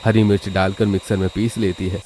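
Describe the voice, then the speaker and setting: Man, cartoon story narrator, low pitch, very mellow and warm tone, scripted delivery. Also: little pitch movement, fairly quick, a little hiss or hum, rough recording.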